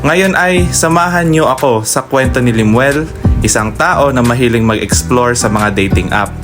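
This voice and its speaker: A man's voice: mid-range voice, moderate pace, over music. A man narrating a scripted radio drama as its host, speaking to listeners directly in a teasing way.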